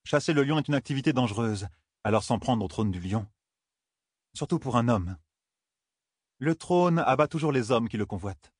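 Man voicing a sly, spiteful noblewoman, pitched up. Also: expressive, moderate pace, pauses often, breathy edge, no room sound, studio quality. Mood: sly insinuation. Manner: pointed, falsely courteous needling. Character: spiteful, sly noblewoman, insolently deferential to her queen. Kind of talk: audiobook character dialogue.

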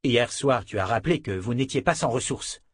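An earnest young man, protective and anxious, as a narrator plays him, in a fantasy audiobook. The voice is somewhat husky and very dry, set a little above mid pitch. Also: expressive, fairly quick, dry recording. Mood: angry, urgent.

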